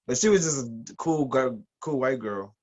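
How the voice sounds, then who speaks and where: A man hosting a casual podcast chat, relaxed and easygoing in his reactions. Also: mid-range voice, moderate pace. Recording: dull lo-fi sound.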